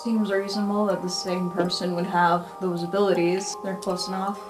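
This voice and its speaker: A woman's voice: fairly low pitch, flat delivery, moderate pace. A woman chatting casually as a player in an improvised tabletop roleplay game. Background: background music.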